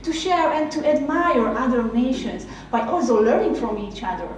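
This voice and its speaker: A woman with a Hungarian accent, a native Hungarian speaker, giving a reflective spoken essay in a slow, measured, heartfelt way. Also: high voice, animated, a little hiss or hum. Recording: very echoey room, mic at a distance.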